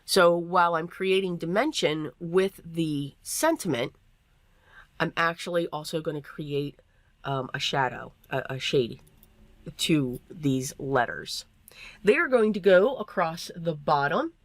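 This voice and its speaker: Woman, hoarse, throat-clearing, lowish voice, chatty craft tutorial voiceover, dry close mic. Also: expressive, measured pace, dry recording. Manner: chatty, filler-heavy, self-deprecating. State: losing her voice, clearing throat. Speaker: card-making tutorial host.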